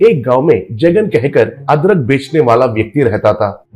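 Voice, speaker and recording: A man tells a cartoon moral story as a scripted narration. His voice sits at an ordinary middle pitch, with music playing behind him. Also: expressive, normal speed, darkish tone.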